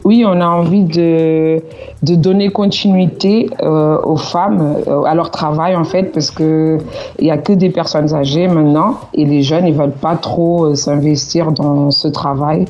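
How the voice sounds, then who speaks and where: Low-voiced woman, hesitant radio guest. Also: fairly even intonation, unhurried, mellow, muffled recording, a little hiss or hum. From Portugal, Cape Verdean parents. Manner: hesitant, many fillers, earnest. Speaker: association president.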